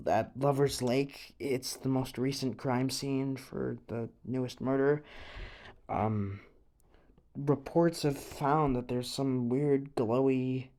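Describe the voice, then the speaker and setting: Halting, slow-paced young American truth-teller acted by a man. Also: mid-range voice, dark tone. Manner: halting, stammering, rambling, sighing. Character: earnest, rattled young small-town conspiracy truth-teller on radio. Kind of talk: improvised-sounding radio confession roleplay.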